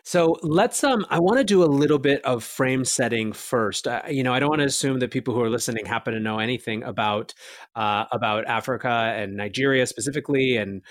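A man's voice: mid-range voice, a little fast, no echo, studio quality. A man hosting a podcast, opening the show and then chatting casually with his guests. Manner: upbeat, conversational with fillers. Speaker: podcast host. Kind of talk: podcast intro and interview.

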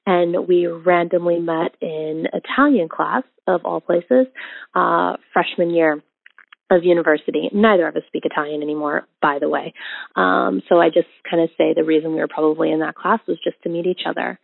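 A woman in her thirties from Indonesia, telling her love story in a casual, reflective way with many ums and pauses. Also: fairly low pitch, fairly even intonation, creaky, no echo. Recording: tinny phone-like audio.

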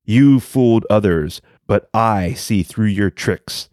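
A man's voice: fairly low pitch, takes it slowly, a little mellow, no echo, studio quality, voice pushed down. A man voicing an arrogant, proud male river dragon king with a haughty, indignant manner.

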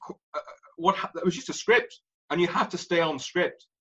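A man in his forties with an Irish accent, a guest telling his story in an animated way and laughing through it. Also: on the high side, normal speed, breathy edge, muffled recording. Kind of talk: candid interview storytelling.

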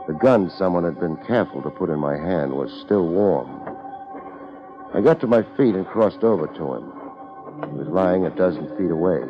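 An actor playing a wry, deadpan private detective with an American accent, in a 1950s radio drama. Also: male voice, low voice. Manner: deadpan, wisecracking. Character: wry, laid-back, hardboiled private detective narrating his case. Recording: dull 1950s radio recording.

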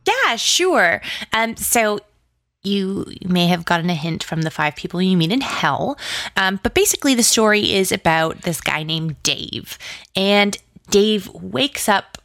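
A woman's voice: mid-range voice, expressive, unhurried, fairly bright tone, dry recording, clean studio sound. A woman speaking as an interview guest in casual, informal conversation, with slangy phrasing full of "like". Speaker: interview guest, audio drama writer.

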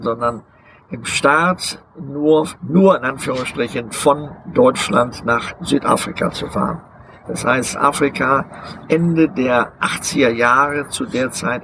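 An older man answers questions outdoors, his gravelly voice halting and deliberate, full of hesitations as he searches for words. A faint outdoor hum runs underneath. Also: mid-range voice. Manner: deliberate, hesitant, many filler sounds. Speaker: interviewee, long-term overland traveler. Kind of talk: outdoor interview. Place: outdoors beside a vehicle museum.

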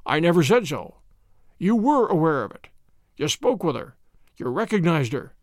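A man voicing a calm, confident, courteous detective who speaks in measured, assertive statements in a storybook reading. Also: fairly high pitch, no room sound, studio quality. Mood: accusing, firm.

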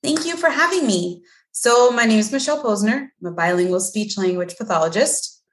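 A woman's voice: on the high side, lively intonation, fairly quick. A woman from Mexico, a guest on a podcast, talking casually with lots of "like" and "um" fillers. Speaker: guest, bilingual speech language pathologist. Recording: echoey room.

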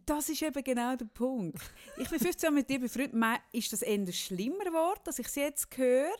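A middle-aged woman, chatting casually as a podcast co-host in a teasing, animated storytelling manner. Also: sits high, fairly quick. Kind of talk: casual chat with a friend.

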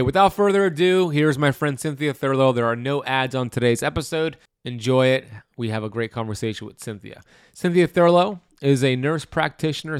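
Upbeat man hosting a podcast, smooth voice. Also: mid-range voice, dry recording, studio quality. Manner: upbeat, promotional, enthusiastic delivery. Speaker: podcast host. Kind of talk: podcast intro and promo read. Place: home in Miami.

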